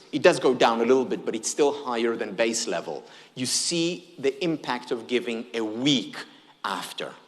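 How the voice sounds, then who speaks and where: A man's voice: mid-range voice, big-room sound. A man whose first language is Hebrew gives a conference keynote, engaging the audience with questions and humor. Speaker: keynote speaker.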